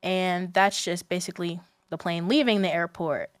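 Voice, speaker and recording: A woman's voice: fairly low pitch, steady tone, moderate pace, no room sound, clean studio sound. A young adult woman speaking as a student guest in a casual podcast interview, informal and candid in the way she talks.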